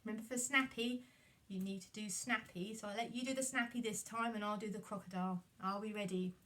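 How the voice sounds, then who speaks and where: A woman telling a story to children in an animated puppet-show style. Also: mid-range voice, brisk. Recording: distant microphone.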